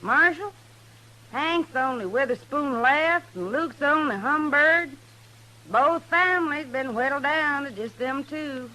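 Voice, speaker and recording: An actress playing a weary, plain-spoken mountain wife in a radio Western, with folksy Southern backwoods speech. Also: female voice, sits high, takes it slowly, pushed and bright, heavily acted. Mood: plaintive, resigned. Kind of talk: old-time radio Western acting. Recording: noisy old radio recording.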